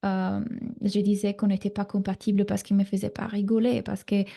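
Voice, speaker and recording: Italian woman coaching, with a gravelly edge. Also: medium pitch, level tone, moderate pace. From Italy. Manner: warm, reassuring coaching talk with frequent fillers. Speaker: relationship coach. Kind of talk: live online masterclass.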